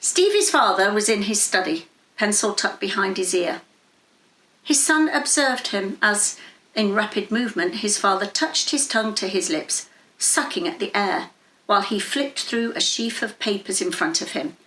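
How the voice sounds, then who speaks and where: A woman reading literary fiction aloud in a measured, dramatic storytelling manner. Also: medium pitch, expressive, a bit bright, echoey room, poor audio. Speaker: story reader. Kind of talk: scripted literary narration.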